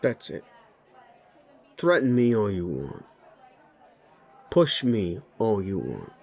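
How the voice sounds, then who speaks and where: A man voicing a brash werewolf bully boss in an audio roleplay, gravelly, swaggering and blunt, spoiling for a fight. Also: on the low side, sing-song, slow, a little mellow, a faint crowd, full character voice. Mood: angry, protective.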